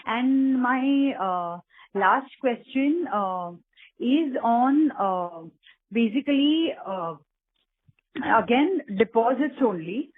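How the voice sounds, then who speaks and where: A woman with an Indian accent asking questions as an analyst on a conference call, in halting, tentative phrases. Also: fairly high pitch, expressive. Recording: muffled narrow phone line.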